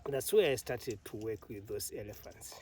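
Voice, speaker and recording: A middle-aged man with a Zimbabwean accent, an elephant carer telling his story in an interview in a halting way, laughing often. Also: fairly low pitch, lively intonation, recorded from a distance, noisy background.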